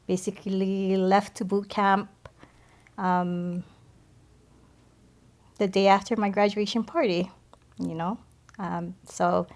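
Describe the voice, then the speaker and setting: A woman from the Philippines, middle-aged, sharing a personal faith testimony as a podcast guest, speaking hesitantly with many pauses. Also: medium pitch, a little mellow.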